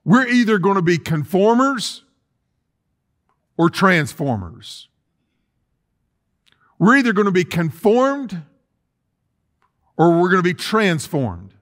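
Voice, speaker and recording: A man's voice: high voice, big pitch swings, slow, pauses often, a bit bright. A middle-aged male pastor preaching in a church sanctuary, reading scripture and calling on the congregation to repeat after him. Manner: preaching with call-and-response, reading scripture aloud. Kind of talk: sermon. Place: church sanctuary with empty chairs.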